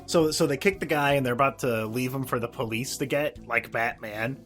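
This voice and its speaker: A man co-hosting a podcast, recapping in a casual, halting, profane and sardonic way. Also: mid-range voice, fairly bright tone, faint music behind. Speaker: podcast co-host. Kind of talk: casual episode recap chat.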